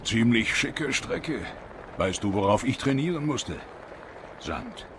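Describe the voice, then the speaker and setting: An actor voicing a gruff, proud old mentor car in an animated film dub, terse and wry with a paternal manner. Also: male voice, medium pitch, slow. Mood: wry, approving. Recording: faint background noise.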